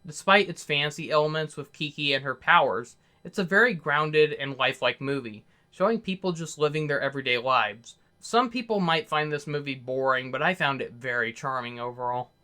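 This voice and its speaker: A man's voice: on the high side, brisk, hardly any pauses, sharp tone. A man narrating a scripted video review as the reviewer, in an earnest, opinionated manner.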